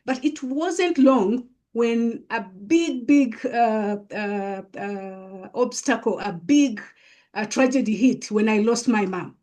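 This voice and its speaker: A woman from East Africa with an East African accent, telling her own story as an interview guest in a halting, deliberate way, repeating phrases for emphasis. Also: fairly high pitch, warm tone. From East Africa, Uganda and Kenya. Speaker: podcast guest, coach and mentor.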